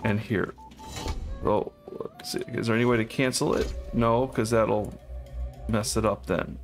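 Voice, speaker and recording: A man's voice: on the low side, a little slow, gravelly, faint music behind. A man giving casual gameplay commentary, thinking aloud in short phrases. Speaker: gamer, co-op let's player.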